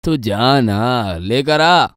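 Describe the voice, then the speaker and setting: Swaggering gang elder, played by a man, horror audio drama, mocking, colloquial, animated pitch, unhurried. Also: no room sound, clean studio sound. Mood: bluffing, casual. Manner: colloquial, scoffing, taunting. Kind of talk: Hindi horror audio story acting.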